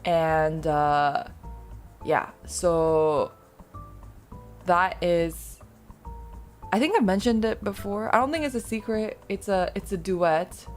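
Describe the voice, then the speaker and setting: Chatty woman streamer, low voice. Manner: rambling, self-deprecating, laughs often. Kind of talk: casual chat with viewers.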